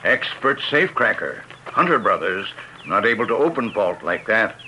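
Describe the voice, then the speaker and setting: Loyal sidekick: stilted broken phrasing, very bright, swinging pitch, faint music behind, vintage radio western. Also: male voice, sing-song, normal speed, a little hiss or hum, exaggerated voice, rough recording. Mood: earnest, deliberate. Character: steady Native male sidekick in stilted broken English. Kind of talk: 1950s radio western acting.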